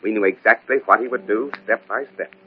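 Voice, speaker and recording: An actor playing a tough, confident police detective in an old-time radio drama, blunt and matter-of-fact, in a muffled recording. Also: male voice, on the low side, fairly quick, a little hiss or hum, natural voice.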